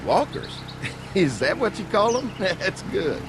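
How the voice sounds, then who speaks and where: An easygoing young dairy farmer in a video game. His Southern drawl is folksy and friendly, with lively swings in pitch. There is clear noise behind the voice. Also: takes it slowly, heavily acted. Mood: affable.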